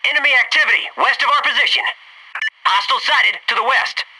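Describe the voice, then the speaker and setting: An actor playing a folksy, dutiful Navy SEAL commando, giving short, clipped military callouts in a video game. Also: male voice, extremely high pitch, fast, distant mic, noisy background. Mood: focused.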